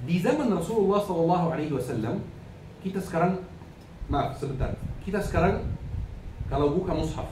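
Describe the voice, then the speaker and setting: A male preacher with an Arabic accent lectures in a fairly high voice, posing questions and answering them himself. He repeats key phrases to instruct his listeners. The recording is echoey, with clear background noise. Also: lively intonation, fairly quick, long pauses, a little mellow. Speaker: Islamic preacher. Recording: echoey, noisy room, distant microphone.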